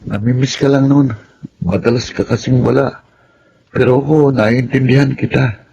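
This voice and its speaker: An actor playing a kind, understanding teenage son, mild and earnest, with a Filipino accent in a radio drama. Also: male voice, medium pitch, steady tone, moderate pace, vocal fry, dark tone, muffled recording, slight background noise, lightly acted. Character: understanding, dutiful teenage son, the kinder twin.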